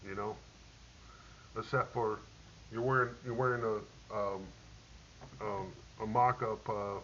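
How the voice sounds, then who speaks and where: A middle-aged man, a military veteran, telling a rambling personal war story, halting with many ums and restarts. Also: lowish voice, a bit bright. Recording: dull lo-fi audio with faint hiss.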